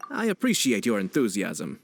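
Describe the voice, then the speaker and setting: Low-voiced, courteous young nobleman. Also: huge pitch swings, gravelly, full character voice. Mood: gracious. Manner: formal, measured, politely wry. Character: poised young nobleman, courteous, composed and subtly teasing. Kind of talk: video game voice acting. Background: background music.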